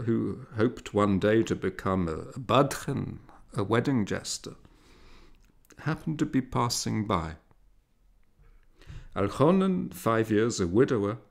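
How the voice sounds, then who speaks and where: A man reading a literary story aloud as a narrator, in a measured, expressive way with dramatic emphasis. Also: lowish voice, gravelly. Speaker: audiobook narrator.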